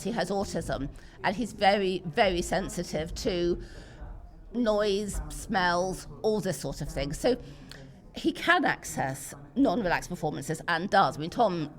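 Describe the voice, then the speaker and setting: A woman's voice: medium pitch, lively intonation, a little slow. A middle-aged British woman talking as a podcast interview guest, emphatic and conversational, repeating words for stress. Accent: British English. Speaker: interview guest, pantomime enthusiast and parent. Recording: busy crowd chatter behind. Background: chatter of people at a venue.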